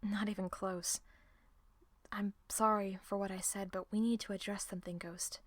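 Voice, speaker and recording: A woman playing a distressed, guilt-ridden young woman in an audio drama, speaking haltingly and emotionally. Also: mid-range voice, little pitch movement, no room sound, natural voice. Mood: quiet, earnest, guilty. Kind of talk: audio roleplay drama acting.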